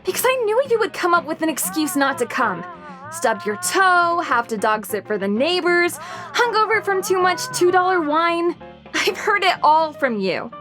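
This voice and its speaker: A high-strung woman with a very high voice. She is intense, argumentative and exacting, a perfectionist in an audio dramedy. Light music sits behind her. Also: big pitch swings, normal speed. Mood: angry, indignant. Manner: intense, demanding, indignant.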